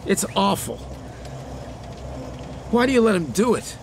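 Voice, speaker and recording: An actor playing an exasperated, sharp-tongued man in a naturalistic radio drama, blunt and scolding. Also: sits high, big pitch swings, measured pace, vocal fry, exaggerated voice. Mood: angry, scolding. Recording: faint ambient background noise.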